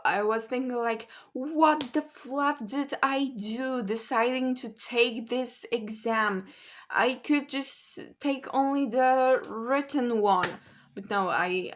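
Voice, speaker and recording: A woman's voice: on the high side, a bit bright. A young woman from Poland, chatting candidly to camera about learning languages. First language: Polish. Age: young adult. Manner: chatty, candid, slow and musing. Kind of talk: casual talk to camera.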